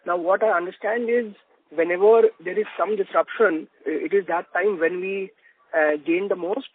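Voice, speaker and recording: Male analyst, high voice, husky tone, polite roundabout questioning, earnings call, telephone-grade sound. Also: steady tone, normal speed, no room sound. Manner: polite, discursive, rephrasing as he goes. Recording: muffled narrow phone-line audio.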